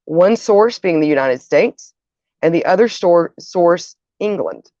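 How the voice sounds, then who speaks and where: A woman's voice: on the low side, lively intonation, unhurried, warm tone, no room sound, muffled recording. A woman hosting a show, presenting partly from notes and sometimes stumbling over words and correcting herself. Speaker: show host.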